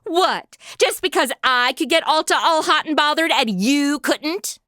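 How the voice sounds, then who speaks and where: A woman's voice: high-pitched, measured pace, bright, forceful tone, no room sound, clean studio sound. A woman playing a sassy, defiant crew-mate in a comedy sci-fi audio drama, with a brash, sarcastic manner. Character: sassy, defiant young modern woman aboard a starship.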